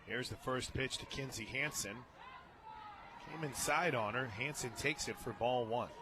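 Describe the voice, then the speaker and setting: A man doing live play-by-play softball commentary on the radio, calling each play in short clipped phrases. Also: medium pitch, normal speed. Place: outdoor ballpark radio booth. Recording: outdoor crowd noise behind the voice.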